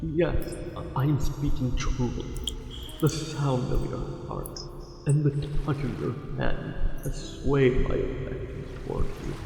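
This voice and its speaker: A man's voice: mid-range voice, huge pitch swings, unhurried, creaky, mellow, exaggerated voice. An actor playing a smitten angler merman in an ASMR roleplay, speaking formally and hesitantly with ornate, tender courtesy. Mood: smitten, pleading. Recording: cavernous echo with long reverb, music behind. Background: music.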